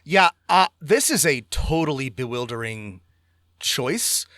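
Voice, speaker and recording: A man's voice: medium pitch, very animated, slow pace, bright, forceful tone, dry recording, clean studio sound. A man co-hosting a podcast in casual review chat, speaking emphatically and repeating words for stress. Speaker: podcast co-host.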